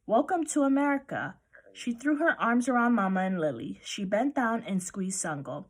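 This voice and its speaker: A woman reading a children's picture book aloud as narrator, with expressive storytelling. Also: mid-range voice, moderate pace, breathy edge.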